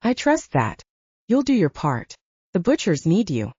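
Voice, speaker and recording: A woman voicing a blunt, brisk female investigator who coaches like a mentor in an audiobook. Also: lowish voice, wildly swooping pitch, a little mellow, breathy edge, no echo, exaggerated voice. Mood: firm, coaching. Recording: dull lo-fi, very dry.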